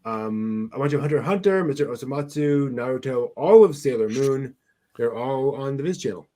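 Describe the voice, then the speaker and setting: Male co-host, mid-pitched, casual news commentary, halting as he checks his notes. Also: animated, warm tone. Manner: halting delivery, searching through notes aloud. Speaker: co-host of an anime news show.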